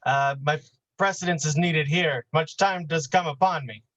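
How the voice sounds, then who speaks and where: A man's voice: on the high side, moderate pace, a bit bright, dull audio, close to an everyday voice. A man acting a dutiful, cautious town constable and father in a Shakespearean staged reading, speaking formally. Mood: brisk, official.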